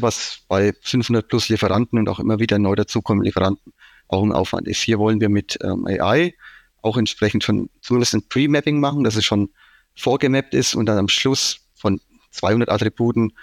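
A man's voice: on the low side, a little fast, gravelly, dry recording, clean studio sound. A man from Franconia with a Franconian German accent, an IT manager being interviewed, explaining things at length with many fillers. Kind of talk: casual business interview.

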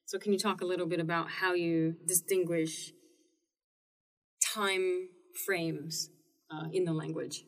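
A woman's voice: lowish voice, fairly even intonation, a little slow. A woman hosting a casual interview, asking curious follow-up questions. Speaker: host. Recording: distant mic in a room.

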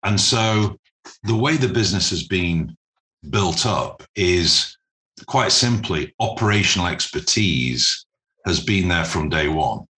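Low, bright-voiced businessman, measured delivery. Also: lively intonation. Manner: measured, formal, deliberate phrasing with pauses. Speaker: interview guest, private equity managing director. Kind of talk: explanatory business interview.

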